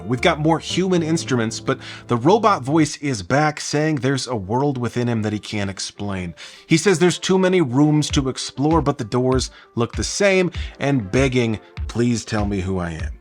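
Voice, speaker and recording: A man's voice: mid-range voice, expressive, moderate pace, soft background music. A man giving an enthusiastic, conversational video essay commentary, explaining things with emphasis as host.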